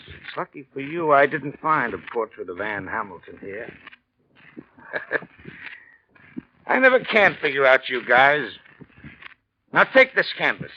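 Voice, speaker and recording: A man playing a shrewd homicide lieutenant in 1940s radio drama, offhand and folksy but slyly probing. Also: on the high side. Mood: slyly suspicious. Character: shrewd, casual homicide police lieutenant, quietly suspicious. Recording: dull, noisy vintage radio recording.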